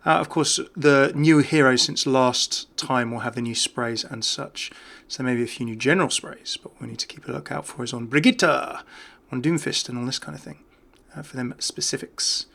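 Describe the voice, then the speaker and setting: Man, casual gameplay commentary, bright forceful tone, frequent vocal fry, chatty, enthusiastic, unscripted. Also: medium pitch, moderate pace. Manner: chatty, enthusiastic about small details. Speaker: video game commentator.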